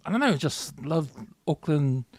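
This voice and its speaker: A man's voice: on the high side, big pitch swings, measured pace, dry recording. A man in his forties with a New Zealand accent, co-hosting a sports podcast in an easygoing, rambling way. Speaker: podcast co-host. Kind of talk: casual chat.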